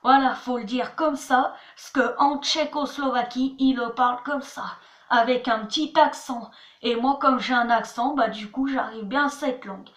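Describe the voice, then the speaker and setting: A woman's voice: high-pitched, steady tone, moderate pace, fairly bright tone. A woman giving a homemade language lesson, chatty, spelling words out letter by letter and repeating "voilà" and "attention". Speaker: channel host teaching words. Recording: echoey room.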